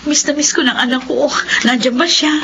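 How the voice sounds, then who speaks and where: A woman's voice: high voice, fairly even intonation, normal speed. An actress playing a humble, polite housemaid mother searching for her son in a radio-style drama. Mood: anxious. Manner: polite, deferential. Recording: muffled lo-fi with clear noise.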